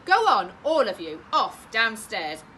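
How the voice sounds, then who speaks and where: Picture-book mother, acted by a woman: bright voice, firm but worn down, exasperated, wanting peace. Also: mid-range voice, very animated, slow pace, a little hiss or hum, pitched above the performer's own voice, exaggerated voice, rough recording. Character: exasperated, weary mother craving five minutes of peace.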